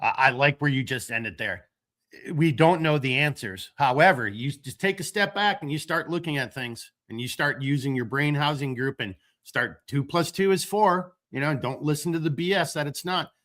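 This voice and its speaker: Bright-voiced man hosting a rambling chat. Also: on the high side, moderate pace. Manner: rambling, animated, tells dad jokes. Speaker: podcast host. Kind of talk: casual late-night chat.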